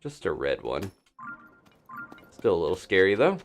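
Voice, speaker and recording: A man's voice: sits low, very animated, moderate pace, soft background music. A man giving casual gameplay commentary as a streamer, rambling as he thinks aloud. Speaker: game streamer.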